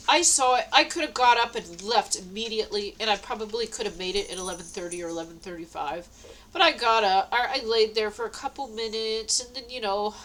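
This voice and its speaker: A woman's voice: mid-range voice, fairly bright tone, low-quality recording. A woman with a stuffy nose, talking at home in a rambling, meandering way, full of fillers and restarts. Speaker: vlogger. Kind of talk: rambling personal diary talk. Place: at home indoors.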